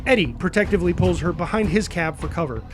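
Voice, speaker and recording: A man's voice: high voice, animated, fast talker. A man reading dramatic, punchy scripted narration and stage directions for a superhero action story. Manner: punchy, present-tense delivery with sound-effect words. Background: music.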